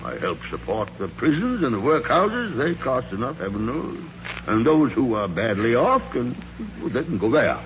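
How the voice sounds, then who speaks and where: A man's voice: medium pitch, sing-song, normal speed. An actor playing a miserly, crotchety old businessman who stammers when rattled, in the style of 1940s radio drama. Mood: scornful, curt. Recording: scratchy, narrow-band 1940s radio recording.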